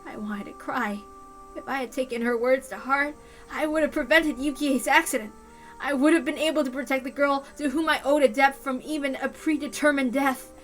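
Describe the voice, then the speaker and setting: High, bright-voiced woman narrating mournfully. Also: steady tone, moderate pace. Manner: mournful, intense. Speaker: narrator reading a visual novel aloud. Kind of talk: dramatic first-person narration. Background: music.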